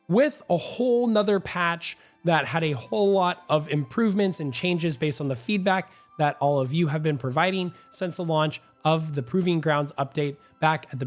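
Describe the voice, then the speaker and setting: Hoarse, nasal man hosting a gaming show. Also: a little high. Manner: upbeat, talkative, self-assured. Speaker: gaming channel host. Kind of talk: energetic gaming news commentary.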